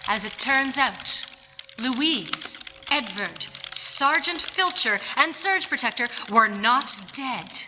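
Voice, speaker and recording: A woman playing the deadpan narrator of a radio comedy. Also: high-pitched, slow, over music. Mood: wry. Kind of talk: scripted comic radio narration. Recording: muffled reverberant hall with clear noise.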